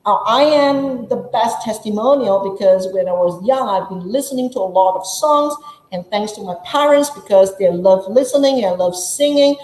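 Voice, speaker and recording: A woman's voice: medium pitch, animated, normal speed, darkish tone, roomy sound, rough recording. A woman English teacher from Malaysia with a Malaysian English accent, giving a chatty workshop lesson and playing out her students' questions.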